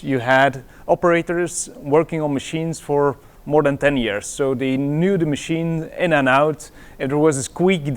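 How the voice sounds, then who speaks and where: Man, Belgian accent, middle-aged, conference presenter, smooth resonant voice, slightly high pitch, hesitant with fillers. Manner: hesitant, many fillers and restarts. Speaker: conference speaker.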